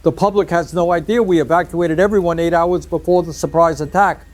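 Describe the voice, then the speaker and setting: Rebel coalition leader, audio drama, male actor: high voice, wry, cynical schemer. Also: fairly even intonation, brisk, natural voice. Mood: smug amusement.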